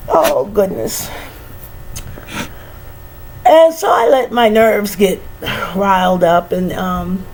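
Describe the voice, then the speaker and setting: Slow-talking tired woman with a cold. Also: medium pitch, gravelly, a little mellow, slight background noise, poor audio. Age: middle-aged. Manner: sighing, laughing, many "you know" fillers. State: stuffed up with a head cold. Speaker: vlogger. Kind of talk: casual reflective monologue.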